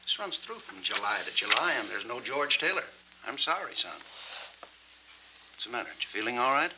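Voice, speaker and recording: An actor playing a kindly, wry middle-aged hotel desk clerk in a 1940s film, with a folksy, hesitant manner. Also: male voice, medium pitch, big pitch swings, normal speed, natural voice. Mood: businesslike, concerned. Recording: old film soundtrack with faint noise.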